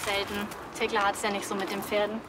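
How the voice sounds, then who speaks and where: An actress playing a matter-of-fact, gossipy stable worker in a TV crime comedy. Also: female voice, mid-range voice, completely flat delivery, quick pace, heavy background noise, close to an everyday voice. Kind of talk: television crime comedy acting.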